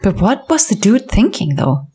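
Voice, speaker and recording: A woman in a casual group chat, reacting with quick exclamations and asides. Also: medium pitch, big pitch swings, over a noisy crowd.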